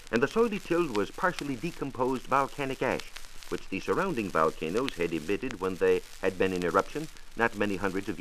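A man's voice: lowish voice, a bit bright. An American man, a science reporter narrating a scripted 1940s radio programme in a formal, precise, emphatic way. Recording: faintly noisy 1940s radio transcription disc.

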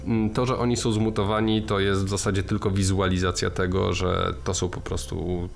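A man's voice: deep voice, level tone, moderate pace, gravelly. A man co-hosting a film podcast, talking through his ideas with many restarts and fillers. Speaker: podcast co-host. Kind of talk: casual film discussion.

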